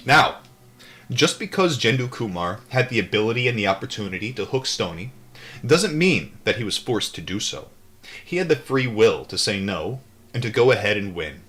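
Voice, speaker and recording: Low-voiced man reading and riffing. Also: sing-song, moderate pace, long pauses, fairly bright tone, faint music behind. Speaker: host reading a book aloud to a live chat. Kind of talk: audiobook-style reading with casual off-the-cuff asides.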